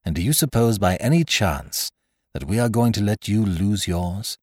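Man doing a grave older doctor, low voice, soft, sad, measured. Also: expressive, breathy edge, dry recording, clean studio sound. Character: stern, kindly older male doctor.

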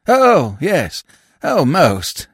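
A man's voice: on the high side, wildly swooping pitch, extremely slow, a bit bright, slightly rough, no room sound, studio quality, voice pushed up, exaggerated voice. A man voicing a fussy, absent-minded old lord in an audiobook, with a stammering, blurting way of talking. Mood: puzzled.

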